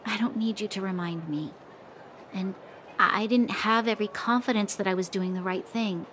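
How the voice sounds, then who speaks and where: Earnest young soldier woman, acted, higher-pitched voice. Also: a bit bright, slight background noise, lightly acted. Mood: conflicted, sombre. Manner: measured, formal, reflective delivery. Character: earnest, dutiful young female soldier officer, troubled by doubt. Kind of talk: video game voice acting. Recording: faint music underneath.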